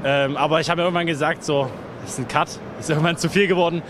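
A man's voice: a little high, fairly even intonation, rapid-fire, background noise. A young man from eastern Germany chatting casually in an interview on a handheld mic. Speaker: festival visitor being interviewed. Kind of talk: casual chat. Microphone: handheld reporter microphone. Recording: music laid over the voice.

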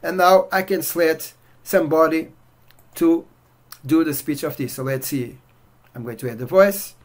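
Portuguese-accented man demoing software. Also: on the high side, normal speed. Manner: relaxed, filler-heavy walkthrough. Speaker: software reviewer. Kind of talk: casual screen-share demo. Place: indoor room at home.

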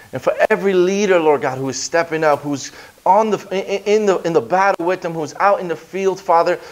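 A man, a guest pastor preaching and praying in an energetic, conversational way, with stumbles and restarts, on a small church stage with a handheld microphone. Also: fairly high pitch. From Brooklyn, New York. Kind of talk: sermon with prayer and scripture reading.

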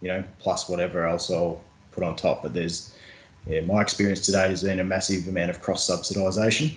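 Male farmer panellist, slightly deep, halting conversational delivery, roomy video-call sound. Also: little pitch movement, a little hiss or hum. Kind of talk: casual question-and-answer talk.

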